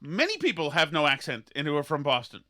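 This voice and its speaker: Guy hosting a podcast, ranting sarcastic and worked up, bright edgy tone. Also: fairly high pitch, quick pace. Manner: animated, sarcastic, mocking. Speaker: podcast host. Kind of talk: opinionated podcast rant.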